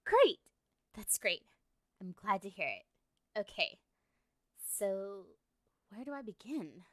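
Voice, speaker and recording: A woman's voice: mid-range voice, big pitch swings, slow, no room sound, studio quality. A woman playing a lovestruck, obsessive young woman in an ASMR roleplay, talking to the listener in a coy, rambling, hesitant way. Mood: nervous, eager.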